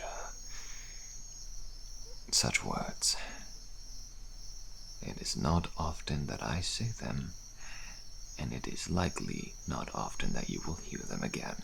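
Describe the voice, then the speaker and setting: A very deep, heavily creaking old-world soldier played by a man, a humble deserter who is grave and weary. He speaks very slowly in a breathy half-whisper, with formal phrasing fit for a fantasy audio roleplay. Night insects chirp behind him. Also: a little hiss or hum, heavily acted. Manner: slow, measured, archaic formal phrasing. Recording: steady background night insects, crickets.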